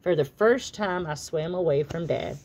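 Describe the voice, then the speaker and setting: A woman reading a children's picture book aloud as an expressive narrator. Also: low voice, moderate pace, slight background noise. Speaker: storybook reader.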